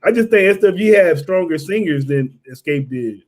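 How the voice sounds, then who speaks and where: A man's voice: a little high, lively intonation, a little mellow. A man co-hosting a podcast, talking in a blunt, slangy way and teasing the others. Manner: slangy, blunt, quick to tease. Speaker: podcast co-host. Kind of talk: casual chat.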